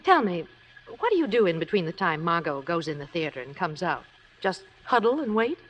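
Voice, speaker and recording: An actress playing a sensible, refined playwright's wife in a 1950s radio drama, with polished theatrical delivery. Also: female voice, fairly low pitch, very animated, fairly quick. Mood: warm, curious. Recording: slightly noisy old radio recording.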